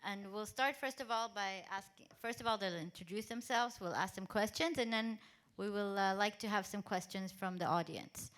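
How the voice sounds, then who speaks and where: A woman from Israel, presenting at a conference and moderating a panel in a direct, brisk way. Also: medium pitch, fairly bright tone, a little hiss or hum. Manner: direct, brisk, with frequent fillers. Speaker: accelerator program leader and panel moderator. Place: large conference hall.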